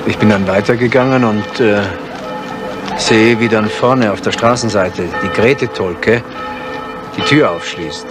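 A man's voice: on the low side, unhurried, loud music behind the voice, lightly acted. A man playing an unbothered, talkative village witness in a radio crime drama, recounting in a hesitant ramble. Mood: casual, recounting. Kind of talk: 1970s German radio crime drama acting.

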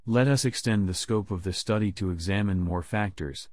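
Low-voiced man reading test sentences. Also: moderate pace, no echo. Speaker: test prompt reader.

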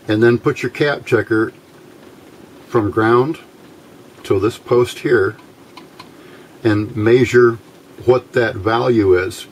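A middle-aged man giving an informal hands-on tutorial in halting short phrases, pausing often as he explains. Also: fairly low pitch. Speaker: electronics hobbyist demonstrating a homemade circuit. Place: indoors at a workbench. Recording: steady clear background noise.

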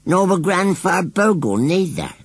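Grumbling, drawling rustic scarecrow. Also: male voice, a little high, very animated, slightly rough, dry recording, pitched above the performer's own voice, full character voice. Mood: indignant. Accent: rural English. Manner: grumbling, drawn-out, indignant rustic speech. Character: sulky, proud old rustic male scarecrow.

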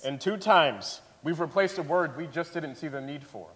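Echoey stage, male narrator reading script, slightly high voice. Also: expressive, normal speed, a bit bright. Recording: echoey theatre stage, long reverb.

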